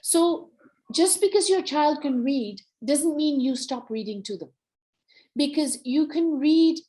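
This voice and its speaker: A woman's voice: high voice, lively intonation, measured pace, mellow. A woman with a Nepali accent giving an educational talk in an instructive, encouraging and emphatic way. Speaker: workshop presenter.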